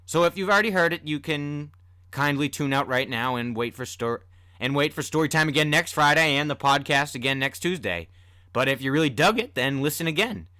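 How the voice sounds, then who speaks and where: A man's voice: on the high side, lively intonation, fairly quick, bright, forceful tone, clear, resonant tone, no room sound, studio quality. A man hosting a podcast, talking casually and informally with self-deprecating asides. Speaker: podcast host and storyteller. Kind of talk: casual host talk.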